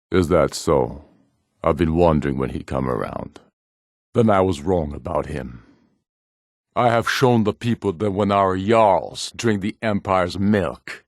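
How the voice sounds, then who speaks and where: A proud Nordic warlord for a fantasy video game, with an extremely deep voice. He speaks like a ruler addressing his warriors, solemn, measured and imperious. The sound is close and dry. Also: dry recording. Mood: measured, ominous, then fervent, defiant. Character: proud, commanding rebel warlord, a middle-aged Nordic jarl.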